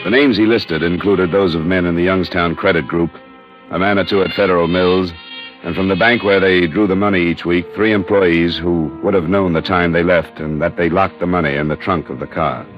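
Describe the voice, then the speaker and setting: Radio noir investigator, played by a male actor: American, very low, matter-of-fact, methodical, old muffled recording with music. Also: natural voice. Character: hard-boiled insurance investigator, methodical and persistent. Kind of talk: scripted first-person radio noir narration and dialogue.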